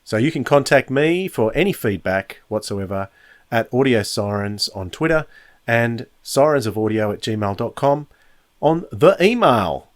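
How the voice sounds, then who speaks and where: A man's voice: mid-range voice, animated, a little slow. A man hosting a review podcast alone, talking casually like an enthusiastic fan. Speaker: podcast host.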